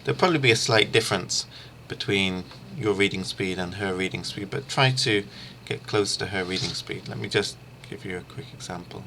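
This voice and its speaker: A middle-aged man giving an informal instructional screencast as a language teacher, haltingly thinking aloud. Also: low voice, gravelly, bright, forceful tone, slight background noise. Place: small room lined with shelves, indoors.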